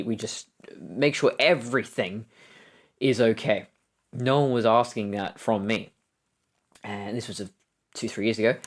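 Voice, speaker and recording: A woman in her thirties with an Australian accent and a deep voice. She speaks frankly in an interview, halting with restarts. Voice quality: deep voice from testosterone. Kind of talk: candid recorded interview.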